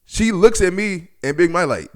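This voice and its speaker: Smooth-voiced young man telling stories casually. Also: sits high, normal speed. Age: 20s. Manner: slangy, profane, animated retelling with quoted dialogue. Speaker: podcast host. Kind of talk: casual storytelling podcast talk.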